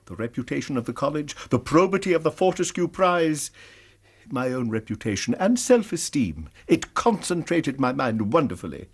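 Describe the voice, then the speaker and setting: Radio drama: anxious, formal British male academic, precise and earnest, lively pitch, slightly rough voice. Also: exaggerated voice. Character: anxious, proper college lecturer reporting a crime.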